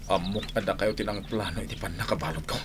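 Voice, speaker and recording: A man's voice: low-pitched, fast, background noise, lightly acted. An actor playing a vengeful, threatening man in an Ilocano radio drama. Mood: cold menace. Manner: threatening, taunting. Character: vengeful, menacing man holding an enemy at gunpoint.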